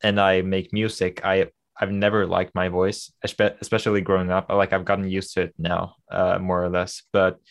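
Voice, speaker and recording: A young man from Sweden with a light, Americanized Swedish accent, chatting casually as a podcast guest and pausing on many fillers. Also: low voice, flat delivery, vocal fry. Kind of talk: casual chat.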